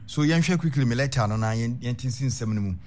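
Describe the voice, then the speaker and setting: A man's voice: medium pitch, a little fast, darkish tone. A Ghanaian-accented man hosting a call-in radio discussion, mostly giving brief backchannel replies to his guest. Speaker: radio host.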